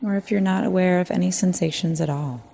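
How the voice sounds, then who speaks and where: A woman guides a meditation in a low-pitched, soft-toned voice. She is gentle and measured, leaving long pauses between phrases. Her timbre is dark and relaxed. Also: gravelly.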